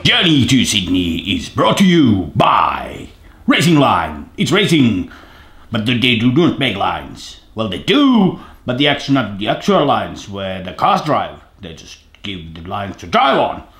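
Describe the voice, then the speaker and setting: A man's voice: fairly low pitch, wildly swooping pitch, unhurried, a bit bright. A man giving a tongue-in-cheek sponsor read in a rambling, self-correcting way. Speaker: sponsor announcer. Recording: echoey room sound.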